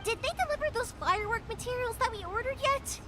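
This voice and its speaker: An actress playing a lively young woman fireworks maker who talks patiently with her deaf father, in a video game. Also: very high-pitched, fast talker, noisy background. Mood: cheerful. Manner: bright, chatty, patient. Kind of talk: game voice acting.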